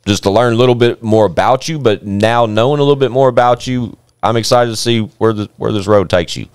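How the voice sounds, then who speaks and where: A man's voice: medium pitch, fairly quick, smooth, no echo, studio quality. A man hosting a casual podcast, laid-back. He swears freely and uses lots of fillers. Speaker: podcast host.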